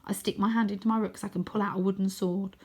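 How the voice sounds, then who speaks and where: A woman's voice: medium pitch, flat delivery, quick pace, darkish tone, breathy edge. Woman reading a children's story dramatically. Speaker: storybook reader. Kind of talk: expressive children's audiobook narration.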